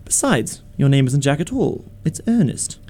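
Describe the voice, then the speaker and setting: Man playing a witty, flippant young English gentleman, lively pitch. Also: normal speed, lightly acted. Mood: triumphant, needling. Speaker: amateur radio theatre actor. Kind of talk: radio play acting of a Victorian comedy of manners.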